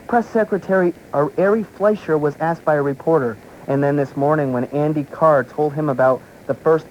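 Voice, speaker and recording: A man hosting a radio talk show, reading an article aloud with deliberate, emphatic phrasing. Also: fairly high pitch, darkish tone. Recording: faintly noisy shortwave radio taped on cassette.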